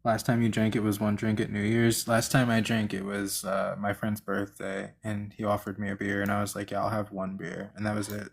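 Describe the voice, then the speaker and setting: Low-voiced man in casual debate. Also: completely flat delivery, fairly quick, creaky, hardly any pauses. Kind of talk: casual live-stream debate chat.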